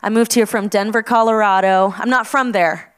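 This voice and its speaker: A woman's voice: mid-range voice, fairly even intonation, normal speed, smooth. Chatty young woman sharing her testimony. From Texas. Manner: chatty, self-deprecating, many fillers. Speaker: youth ministry leader. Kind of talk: personal testimony to students.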